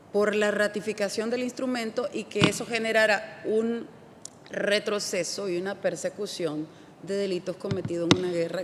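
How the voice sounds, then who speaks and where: A woman from El Salvador, a parliamentary delegate, giving a measured, grateful formal intervention at a conference. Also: mid-range voice. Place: large conference hall. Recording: echoey hall, faint noise.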